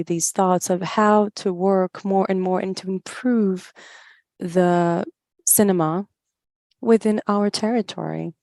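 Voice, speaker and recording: A woman giving a live spoken interpretation of a talk, in halting phrases with pauses mid-thought. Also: fairly low pitch, creaky, a little mellow, no room sound. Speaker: interpreter voicing another speaker's words.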